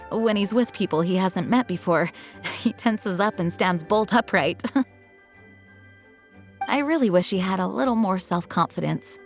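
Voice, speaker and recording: A woman's voice: medium pitch, close to an everyday voice. A woman playing a composed knight commander. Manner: formal, measured, courteous.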